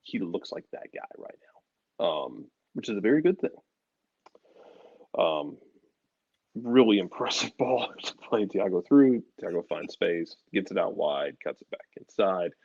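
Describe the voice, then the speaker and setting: A man's voice: low voice, animated, measured pace, vocal fry, pauses often, darkish tone, husky, no echo, muffled recording. A man co-hosting a sports show, giving casual analysis and often repeating himself as he thinks.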